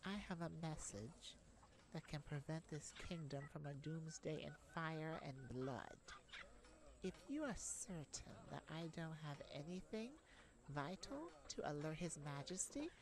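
A woman voicing a brave but worried young princess in an ASMR audio roleplay, gentle, earnest and a little hesitant. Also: low voice, expressive, measured pace, poor audio. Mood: firm, commanding.

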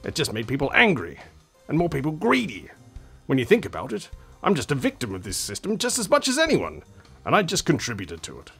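Man doing a gruff politician in his fifties, gravelly, husky, very expressive pitch. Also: a little fast, soft background music, full character voice. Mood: rueful. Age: 50s. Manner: gruff, blustering, swears often. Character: weary veteran male politician, blunt and swearing. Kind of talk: radio comedy acting.

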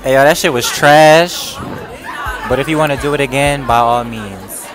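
Young man, laid-back, joking, slow drawl, off-mic, crowd noise. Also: mid-range voice. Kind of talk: casual answer.

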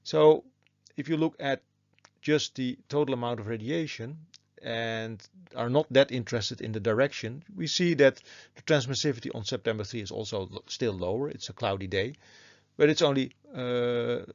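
A man's voice: medium pitch, normal speed, dry recording, muffled recording. A man giving a methodical, step-by-step explanatory lecture as a university teacher over slides.

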